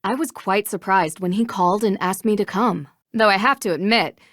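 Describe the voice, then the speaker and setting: A woman's voice: medium pitch, normal speed, a bit bright, no room sound, close to an everyday voice. An actress playing a curious, confident young female detective in a video game, inquisitive and a little dry in manner. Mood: friendly.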